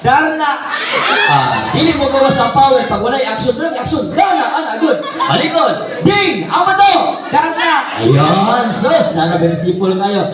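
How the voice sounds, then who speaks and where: A man's voice: very high voice, big pitch swings, unhurried. A man with a Visayan Filipino accent working a live party as magician and host, playfully calling out prompts to the audience. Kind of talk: live magic show patter with audience games. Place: echoey party venue with music and crowd. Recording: echoey party venue, distant mic, loud music and crowd.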